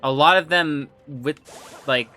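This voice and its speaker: A man's voice: a little high, slow, pushed and bright, soft background music. A man chatting casually with viewers on a gaming livestream, rambling, trailing off and restarting, his throat a little phlegmy. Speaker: game streamer.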